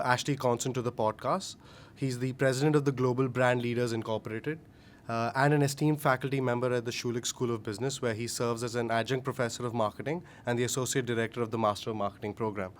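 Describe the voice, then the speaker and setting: A man's voice: medium pitch, fairly even intonation, a little fast, a little hiss or hum. A young man hosting a student podcast, reading a formal guest introduction with many filler sounds.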